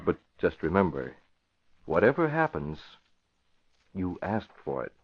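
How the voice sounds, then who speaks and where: An actor playing a resolute masked crime-fighter and publisher, firm and commanding, in 1940s radio drama style. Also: male voice, sits low, sing-song, unhurried. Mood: measured authority. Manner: firm, commanding, clipped orders. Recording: muffled, hissy vintage radio broadcast.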